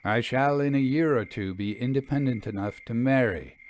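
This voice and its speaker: A man reading the dialogue of a thoughtful, reserved storybook gentleman in a measured, formal manner. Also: mid-range voice, natural voice. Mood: calm, resolved. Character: thoughtful, reserved gentleman narrator in love, male. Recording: clear background noise.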